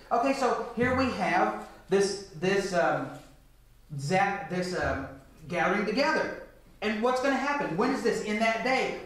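Echoey high-pitched man lecturing on scripture. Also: a bit bright. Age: middle-aged. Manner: rhetorical questions answered by himself. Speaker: church lecturer. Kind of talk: Bible lecture to a congregation. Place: large church meeting room, indoors. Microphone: picked up from a distance. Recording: echoey hall, distant microphone.

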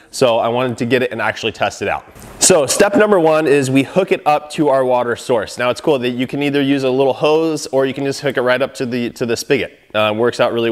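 A man in his thirties, a professional car detailer, casually explaining a product test in his shop with frequent fillers and restarts. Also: mid-range voice.

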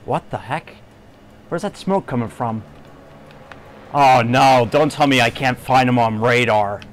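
A man's voice: medium pitch, a little slow, a little hiss or hum. A man giving casual, light commentary as he plays a video game, muttering offhand reactions and mild exclamations. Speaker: gamer commenting on his playthrough. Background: video game music.

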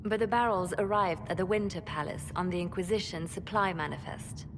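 An actress playing a calm, dutiful female spymaster who speaks in a grave, clipped manner in a video game. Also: lowish voice, brisk, noisy background, lightly acted. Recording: game audio with long reverb and music.

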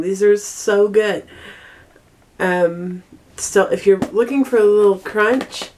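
A young woman vlogging casually with a cold, speaking in a halting, stop-and-start way in a bedroom. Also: medium pitch, darkish tone. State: congested with a cold. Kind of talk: casual monthly favorites vlog. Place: small bedroom, indoors.

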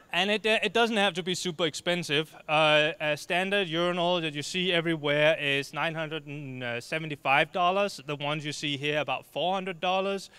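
A man in his thirties from Denmark with a Danish accent, giving a short, joking talk on a dark stage into a handheld mic and waving his arms as he speaks. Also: on the high side, clean tone. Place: dark stage before an audience.

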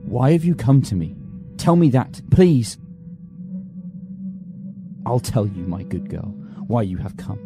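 A man playing a bitter, self-loathing poor man who swings from shouted rants to low, brooding talk. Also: expressive, unhurried, over music, natural voice. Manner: melodramatic, lurching from shouted rants to low narration. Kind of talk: comic amateur drama reading.

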